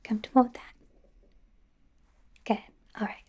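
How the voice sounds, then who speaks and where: A woman playing a friendly, self-correcting werewolf doctor in an ASMR roleplay, speaking in a soft, gentle, hesitant whisper. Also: moderate pace, natural voice. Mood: gentle, attentive.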